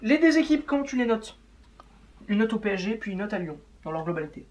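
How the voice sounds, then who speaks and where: A man's voice: high-pitched, sing-song, quick pace, a little mellow, muffled recording. A young man, chatting informally as the host of a football show. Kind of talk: casual unedited football chat.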